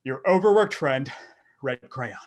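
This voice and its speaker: A man's voice: medium pitch, animated, unhurried, pitched below the performer's own voice, natural voice. A man voicing a weary, overworked red crayon in a readers theater storybook piece. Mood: weary complaint.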